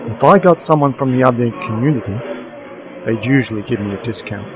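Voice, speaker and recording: A man playing a weary, wry private investigator who narrates a noir radio play in deadpan style. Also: medium pitch, a little fast, close to an everyday voice, low-quality recording. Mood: wry, resigned. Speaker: narrator and protagonist.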